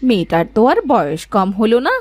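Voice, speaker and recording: A woman's voice: mid-range voice, lively intonation, moderate pace, darkish tone, lightly acted. A woman voicing a nosy, mocking village neighbour in a cartoon, taunting and meddling. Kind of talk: Bengali cartoon voice acting.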